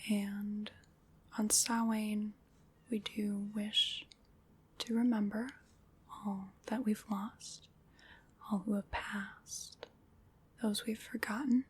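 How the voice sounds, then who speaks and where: A woman playing a gentle, solemn witch in an ASMR roleplay, whispering in a breathy, soothing, ceremonial way. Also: medium pitch, level tone, slow, pauses often. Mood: gentle, reverent. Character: gentle, solemn witch leading a Samhain ritual.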